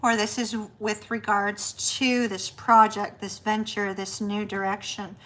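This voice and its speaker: A middle-aged woman, chatty and reassuring as she reads tarot on a live stream, her voice going a bit hoarse. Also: medium pitch, level tone, unhurried, poor audio. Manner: chatty, warm reassuring delivery, says y'all. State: losing her voice. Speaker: tarot reader.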